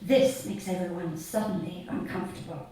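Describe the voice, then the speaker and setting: A woman reading her comic short story live to an audience, in a deadpan, measured way with deliberate pauses. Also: fairly low pitch. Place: theatre stage at a live reading event. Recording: echoey hall, distant mic, audience noise. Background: audience laughter.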